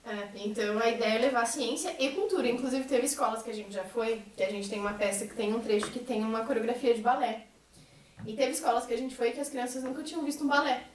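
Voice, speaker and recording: A woman's voice: on the high side, quick pace, a little hiss or hum. A woman with a Brazilian accent speaking earnestly and articulately in a reflective group conversation, as a member of a theatre troupe. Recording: echoey room, distant mic.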